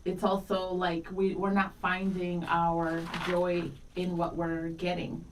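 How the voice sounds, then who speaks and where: A woman's voice: on the low side, monotone, takes it slowly, far from the mic. A middle-aged woman talks candidly and reflectively as a radio co-host, in an easy conversational style. She sounds set well back from the microphone, with a faint bed of noise around her. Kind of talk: casual conversational radio talk.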